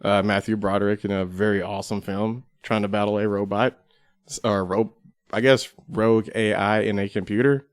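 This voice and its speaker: Lowish-voiced man, dry close sound. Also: level tone, normal speed, smooth, no echo. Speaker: podcast guest. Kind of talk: casual chat.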